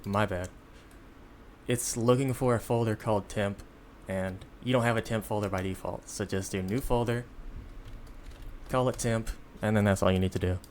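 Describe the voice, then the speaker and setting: Low-voiced man, casual tutorial delivery. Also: steady tone, slight background noise. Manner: informal, halting step-by-step delivery. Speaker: tutorial presenter. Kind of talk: casual unscripted software tutorial.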